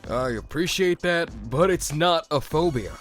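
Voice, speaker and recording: A grumbling young tough guy, acted by a man, talks slowly with big swings in pitch. He sounds defensive and colloquial, as audio drama dialogue with faint music behind him. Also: lively intonation. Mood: grumpy, defensive.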